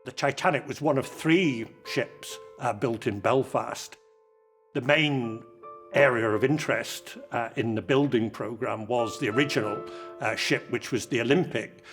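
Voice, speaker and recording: A man gives explanatory interview commentary in halting, measured phrases. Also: mid-range voice, soft background music. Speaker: interviewed commentator on film history.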